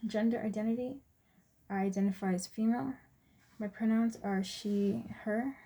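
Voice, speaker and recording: Mellow young American woman presenting. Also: mid-range voice, fairly even intonation, moderate pace, breathy edge. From US Virgin Islands and Texas, USA. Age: 20s. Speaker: student presenter. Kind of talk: prepared class presentation.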